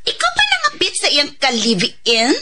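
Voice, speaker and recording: A woman's voice: high-pitched, wildly swooping pitch, moderate pace, bright, forceful tone, a little hiss or hum, exaggerated voice. A woman with a Cebuano Filipino accent playing a frank, teasing boss who grills a killer in a radio drama. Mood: incredulous, teasing.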